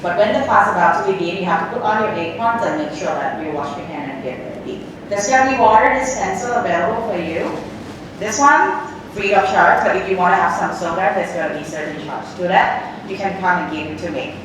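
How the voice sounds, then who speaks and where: A woman's voice: lowish voice, a little fast. A woman with a Thai accent who is a cooking instructor. She explains steps to a class and often checks in with "okay?". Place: cooking school and market, indoors and out. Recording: echoey, distant, with background noise.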